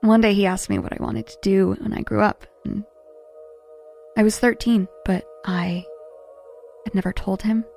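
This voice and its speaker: A blunt, searching woman biographer , played by an actress, with a creaky edge to her mid-pitched voice. She is troubled and probing in a naturalistic audio drama, with music behind her. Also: fairly even intonation, moderate pace, long pauses, a little mellow, natural voice. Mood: wistful, hurt. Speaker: character in a sci-fi audio drama.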